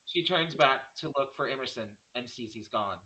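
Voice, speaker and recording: A man narrates a horror screenplay, reading scene headings and action plainly. His voice is medium in pitch with a bright, forceful edge. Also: animated, normal speed, rough recording. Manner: plain stage-direction reading. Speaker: script narrator.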